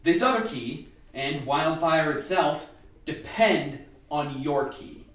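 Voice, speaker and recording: A man acting in an amateur radio play as a calm, instructive scientist briefing a lab team with measured delivery. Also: a little high, a little hiss or hum. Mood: grave, explanatory. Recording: echoey room, distant mic, faint music.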